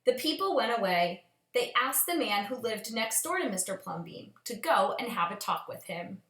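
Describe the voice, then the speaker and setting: A woman reading a children's storybook aloud as a storyteller, with expressive, measured phrasing. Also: fairly high pitch. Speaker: storyteller, teacher. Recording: echoey room.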